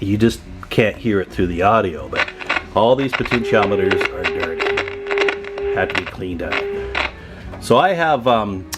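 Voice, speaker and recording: An American man giving a casual hands-on demonstration as a repair technician, plainspoken and folksy. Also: lowish voice.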